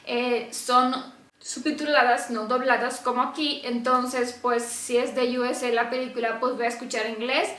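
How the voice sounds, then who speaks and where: A woman's voice: on the high side, flat delivery, normal speed, fairly bright tone. A young woman from Romania, chatting casually to camera in Spanish and laughing often. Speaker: vlogger. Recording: echoey room.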